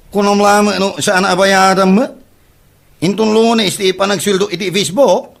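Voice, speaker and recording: A man's voice: high-pitched, flat delivery, normal speed, vocal fry. A man playing a boastful, debt-ridden Ilocano man who whines and stammers in a comedy drama. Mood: indignant, brash. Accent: Filipino, Ilocano. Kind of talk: comedy audio drama acting.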